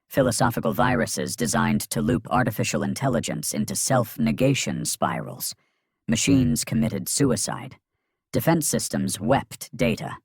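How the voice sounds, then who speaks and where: A man giving a dramatic scripted narration of a science fiction story, grave and in clipped phrases with a gravelly, husky voice. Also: mid-range voice, dry recording, clean studio sound.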